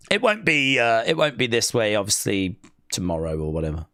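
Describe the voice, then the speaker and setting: A man's voice: mid-range voice, a bit bright, dry recording, studio quality. A man hosting a live wrestling stream, chatting loosely and reading out chat, his voice weak as if from a cold. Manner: rambling, hesitant, reads chat aloud. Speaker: wrestling watch-along streamer.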